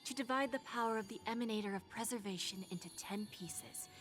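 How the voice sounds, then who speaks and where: An actress playing a poised, seductive and patient female power broker in a video game, speaking in a composed, unhurried, teasing manner. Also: a little high, faint music behind, close to an everyday voice. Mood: solemn, composed. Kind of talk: scripted game voice acting.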